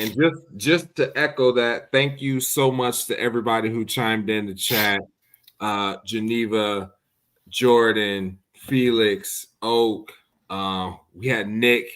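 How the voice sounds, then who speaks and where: A man hosting a casual podcast, relaxed, with filler ums as he wraps up the show. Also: lowish voice. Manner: relaxed, with filler ums while listing thank-yous. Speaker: podcast host. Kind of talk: casual podcast chat and sign-off.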